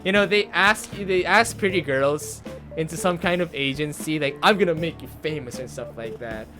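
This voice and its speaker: A man's voice: fairly high pitch, normal speed, bright, forceful tone. A young man giving casual live game commentary into a close microphone, rambling and chatty, often repeating himself. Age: young adult. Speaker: game streamer. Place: indoor streaming setup. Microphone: close desk microphone, headset on. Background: game music.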